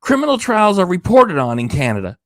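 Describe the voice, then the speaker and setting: A man's voice: high voice, wildly swooping pitch, fairly quick, a bit bright, no room sound, studio quality. A man hosting a reaction livestream, ranting with sarcasm and swearing, tired and losing his voice. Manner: sarcastic, indignant, swears often. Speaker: reaction channel host.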